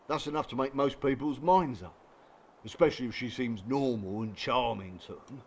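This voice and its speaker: Casting: male actor for plain-talking footballer, supportive and streetwise, mid-pitched, radio play. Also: lightly acted. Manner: blunt, colloquial, reassuring. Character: blunt, supportive working-class footballer, male abuse survivor. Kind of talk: audio drama acting.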